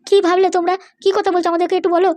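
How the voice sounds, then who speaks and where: A woman's voice: very high-pitched, level tone, rapid-fire, darkish tone. A woman voicing an anxious older parent in an amateur cartoon, pleading and insistent. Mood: worried.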